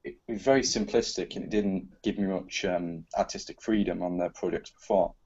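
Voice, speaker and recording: Male composer, lower-pitched, conversational interview answers, lo-fi dull sound. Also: fairly even intonation, moderate pace, a little mellow, husky.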